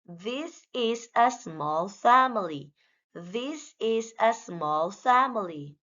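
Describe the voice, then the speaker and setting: Very slow-speaking woman reading a children's lesson. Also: fairly high pitch, animated, fairly bright tone. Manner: deliberate, simple, instructional delivery. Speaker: language lesson narrator. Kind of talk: scripted English lesson for young children, short phrases read out for repetition. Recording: dull, lo-fi sound.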